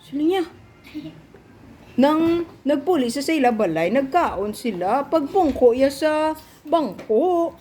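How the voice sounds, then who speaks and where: A woman improvises a story for children. She is playful and expressive, but takes her time. The recording has faint noise behind her. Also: high-pitched, takes it slowly.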